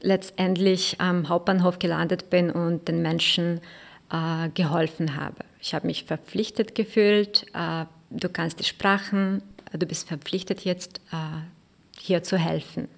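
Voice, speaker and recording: A woman with a Ukrainian accent co-hosting a podcast, speaking in a measured way with filler sounds. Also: fairly low pitch, steady tone, creaky. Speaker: podcast co-host, translation student. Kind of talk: semi-scripted podcast talk.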